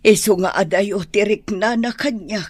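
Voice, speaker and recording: A woman playing a middle-aged, sorrowful mother in a radio drama, with a Filipino Ilocano accent and a husky voice. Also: mid-range voice, normal speed, background noise, natural voice. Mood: wistful, regretful. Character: sorrowful mother longing for her estranged child.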